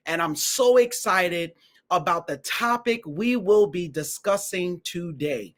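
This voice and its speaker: High-voiced, enthusiastic male interview host. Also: fairly bright tone. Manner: enthusiastic, repeats phrases for emphasis. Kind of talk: online interview show hosting.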